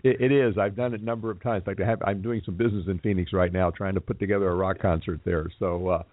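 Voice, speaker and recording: A man hosting a casual radio interview, talking in a halting, friendly way with frequent fillers and restarts. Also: low-pitched, fairly even intonation, no room sound. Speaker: radio show host. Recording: tinny phone-like line.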